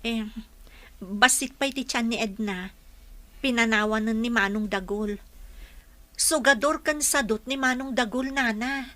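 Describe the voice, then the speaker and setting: A woman's voice: on the high side, unhurried, pauses often, sharp tone, no echo. An actress playing a concerned, frank young woman in a radio drama. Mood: hesitant, frank.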